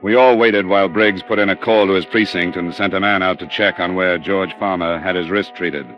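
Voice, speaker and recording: A man's voice: low voice, steady tone, fairly quick, soft background music, lightly acted. A man playing a shrewd, persistent insurance investigator with clipped, pressing delivery in a 1950s radio crime drama. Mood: brisk, assured. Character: steely male insurance investigator, shrewd, persistent, hard-boiled. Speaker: lead detective and narrator. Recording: dull vintage radio recording.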